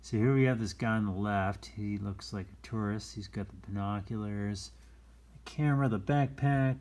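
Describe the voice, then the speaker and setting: A man's voice: on the low side, moderate pace, darkish tone. A man hosting a read-aloud in a casual style, with easygoing, rambling explanatory asides. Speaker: storytime reader and host.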